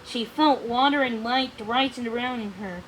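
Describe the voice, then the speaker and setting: A woman's voice: a little high, normal speed, fairly bright tone, slight background noise, poor audio. A woman narrating a storybook read-along in a dramatic manner, occasionally stumbling over words.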